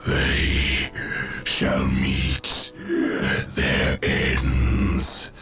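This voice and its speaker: A man's voice: very deep voice, very slow, over music, heavily acted. A man playing an ancient, cold, menacing undead machine lord in a video game, solemn and commanding. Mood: cold menace. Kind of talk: video game villain acting.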